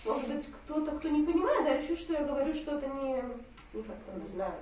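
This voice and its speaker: A woman in the audience speaks fast and a bit haltingly, in a high voice, as she searches for the right words. She is far from the microphone in a very echoey room, and the recording sounds muffled, with faint noise in the background. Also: lively intonation, crowd noise. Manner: rapid, unsure, searching for words. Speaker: mother in the audience. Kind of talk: audience discussion.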